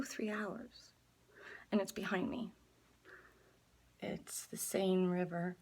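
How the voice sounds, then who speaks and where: Slow, husky woman. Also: on the low side. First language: Dutch. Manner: earnest, halting, deliberate. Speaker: artist and book organizer. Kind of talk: personal video appeal to viewers.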